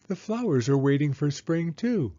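A man voicing a patient, gentle mother mouse in a children's storybook, explaining things simply and reassuringly. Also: fairly high pitch, expressive, moderate pace, highs cut off, voice pushed up, close to an everyday voice. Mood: gently explaining.

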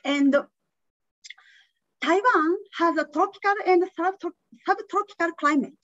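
A woman's voice: extremely high pitch, unhurried, a bit bright, no room sound, dull audio. A woman, not a native English speaker, giving an academic conference talk over a video call, with many hesitant fillers. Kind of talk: online academic presentation. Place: indoor room.

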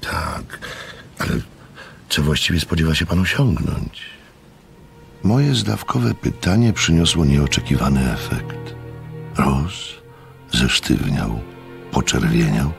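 Observant gentleman, radio drama narration, very low, gravelly, reflective, soft music underneath. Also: heavily acted. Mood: curious. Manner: reflective, storytelling.

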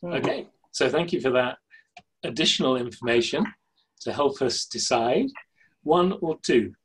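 A middle-aged man hosting an online workshop from a home study, brisk and dry as he guides the group. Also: medium pitch, fairly bright tone, slightly rough. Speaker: workshop host. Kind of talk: facilitating an online session. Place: home study lined with bookshelves. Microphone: laptop webcam on video call.

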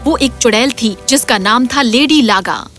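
A woman's voice: a little high, fairly bright tone. A woman narrating a scripted children's fairy tale as a storyteller. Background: music.

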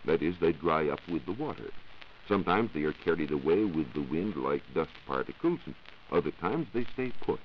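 A man, a 1940s radio science reporter, giving scripted explanations in formal wording. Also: low voice. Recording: dull, noisy 1940s radio recording.

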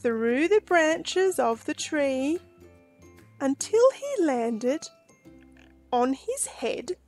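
A woman in her forties reads a picture book for children. Her voice is high and she takes her time, shaping each phrase with deliberate expression. There is faint music behind her. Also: big pitch swings, darkish tone. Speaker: storytime host and reader.

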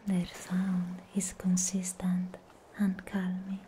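Woman as serene anime sea guardian for ASMR roleplay: breathy whisper, very slow, mellow, soothing. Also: fairly low pitch, monotone, slight background noise.

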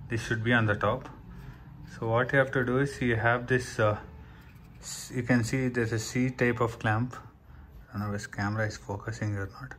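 A man's voice: mid-range voice, fairly bright tone. A man with an Indian accent giving a casual hands-on how-to, explaining step by step and often checking in with "right". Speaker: tutorial presenter. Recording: clear background noise and room ambience.

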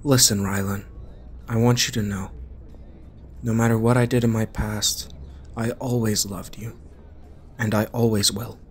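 A man plays a devoted father burdened by his past. His voice is on the low side, and he speaks as a parent to his grown son in an audio drama. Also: flat delivery, a little slow, pauses often, faint music behind, close to an everyday voice. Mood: tender, solemn. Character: loving, guilt-burdened father of a college-bound son. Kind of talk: amateur audio drama acting.

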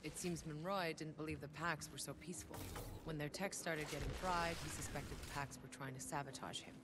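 A man's voice: on the high side, steady tone, fairly quick, heavy background noise, natural voice. Actor as a resolute male soldier, terse and gritty game hero. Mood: grim, informative. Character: hardened male soldier leading an expedition, resolute. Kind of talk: video game dialogue, voice acting. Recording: loud game sound effects behind.

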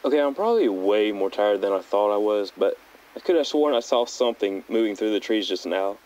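A young man playing an uneasy teenage backpacker recording an audio diary in a measured, hesitant, understated way. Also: fairly low pitch, animated, a little mellow, natural voice. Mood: creeped out. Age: young adult. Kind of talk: found-footage audio log narration. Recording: dull lo-fi handheld tape recorder.

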